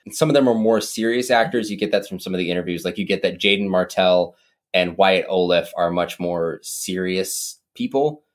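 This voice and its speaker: Low-voiced man in rambling movie chat. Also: normal speed. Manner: animated, rambling, mimics voices with big pitch jumps. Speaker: podcast co-host. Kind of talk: casual movie discussion.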